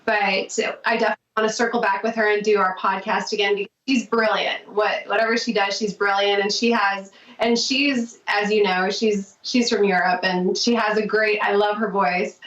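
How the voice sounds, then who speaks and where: A woman guesting on a podcast talks at length in a gushing, grateful way, at an ordinary pitch. She sounds far from the mic in a roomy space, and the audio is dull and muffled. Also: level tone, moderate pace, hardly any pauses, fairly bright tone. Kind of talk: casual interview chat.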